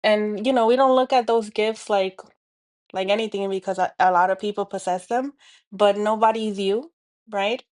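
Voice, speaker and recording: A woman's voice: mid-range voice, fairly even intonation, moderate pace, darkish tone. A woman with a Dominican accent, hosting a spiritual healing talk, speaking earnestly to camera with many fillers and restarts. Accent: Dominican Spanish. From Dominican Republic. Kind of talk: reflective monologue to camera.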